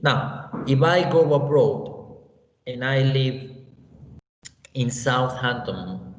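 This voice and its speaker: A man with a Mexican accent teaching an online English class, unhurried and often checking in with "you know" and "okay?". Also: mid-range voice. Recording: echoey, roomy, dull lo-fi sound with faint hiss.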